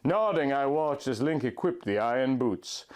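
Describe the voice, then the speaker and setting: A man reading fan fiction aloud in a deadpan, overly earnest storybook manner. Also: medium pitch, normal speed, smooth. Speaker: comic reader of fan fiction. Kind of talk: mock-dramatic reading aloud.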